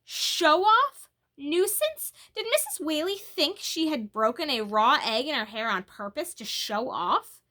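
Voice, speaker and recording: A woman's voice: sits high, animated, unhurried, bright, forceful tone, pitched above the performer's own voice, exaggerated voice. A woman voicing an indignant, wounded eight-year-old schoolgirl from a children's storybook, questioning in a put-out way. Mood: hurt, indignant.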